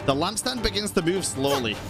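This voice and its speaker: A man's voice: sits high, lively intonation, a little fast, over music. A man giving casual live gameplay commentary as a streamer, reacting in slangy, sweary language. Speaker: game streamer.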